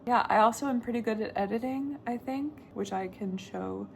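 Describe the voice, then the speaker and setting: Chatty young woman with vocal fry. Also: a little high, normal speed, a little hiss or hum. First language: Danish. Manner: chatty, talks on and on, laughs often. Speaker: book vlogger. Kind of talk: casual chat to camera.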